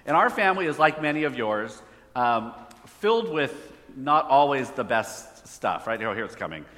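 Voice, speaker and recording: Bright-voiced preacher in an echoey hall. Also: male voice, mid-range voice, sing-song, moderate pace. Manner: conversational, asks the audience questions. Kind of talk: sermon. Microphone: phone hotspot for slides.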